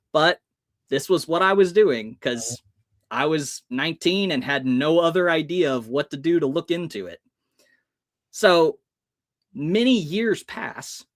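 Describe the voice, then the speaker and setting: Animated American man telling stories. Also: a little high. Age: early 30s. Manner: expressive, acts out dialogue as he goes. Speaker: podcast guest, hypnotist. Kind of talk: animated personal storytelling.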